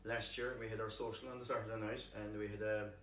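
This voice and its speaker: A man's voice: fairly low pitch, level tone, fast talker, a bit bright. A man with an Irish accent chatting casually as a guest, wry and understated. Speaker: pantomime performer, guest. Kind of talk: casual chat. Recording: echoey room, distant mic, background noise.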